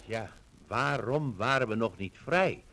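Male narrator, mid-pitched, very animated intonation, formal, dramatic, 1950s radio documentary. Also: a little slow.

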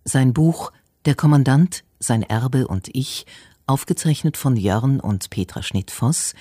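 A woman's voice: very deep voice, lively intonation, takes it slowly, dark tone, breathy edge, no echo, clean studio sound. A woman reading scripted radio titles and credits in a formal, factual manner.

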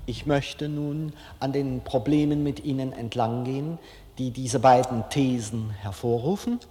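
A middle-aged man giving an anecdotal public lecture as a film director, speaking deliberately and rhetorically with dramatic pauses. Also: medium pitch, dark tone. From Germany. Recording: reverberant lecture hall.

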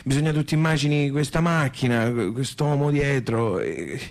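An actor playing a mournful, resigned provincial man with a Tuscan accent, halting and repeating himself in naturalistic stage dialogue. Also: medium pitch, close to an everyday voice. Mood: wistful, rambling. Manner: halting, repetitive, trailing off.

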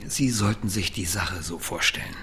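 A man's voice: deep voice, normal speed, a little hiss or hum. An actor playing a nervous older male brain researcher who swings between indignant outbursts and secretive confiding. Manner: indignant, excitable, then confiding. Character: nervous, self-pitying older male professor.

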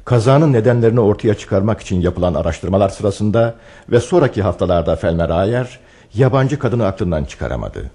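Very low, mellow and dark, solemn storyteller, dramatic radio narration, expressive intonation. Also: male voice, fairly quick, breathy edge. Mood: solemn, literary. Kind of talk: scripted radio play narration.

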